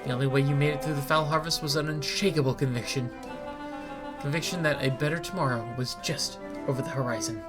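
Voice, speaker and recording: A grandiose town benefactor performed by a woman holds forth in a low voice. He is folksy and rhetorical, leaning on his words like a video game politician at a podium. Music plays behind him. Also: fairly even intonation, fast talker, lightly acted. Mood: rousing, folksy. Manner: rhetorical, emphatic oratory. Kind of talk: dramatic reading of video game dialogue.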